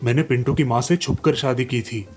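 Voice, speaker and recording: A man voicing an apologetic village father, explaining himself earnestly in an animated fairy tale. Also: medium pitch, fairly quick, soft background music, voice pushed down, lightly acted.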